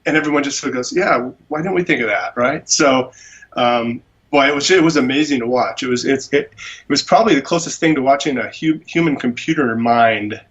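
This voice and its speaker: A man's voice: medium pitch, fairly quick, a bit bright, lo-fi sound. A man, an animal rights attorney, speaking as a guest in a phone interview and talking enthusiastically. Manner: enthusiastic, gushing about a speaker.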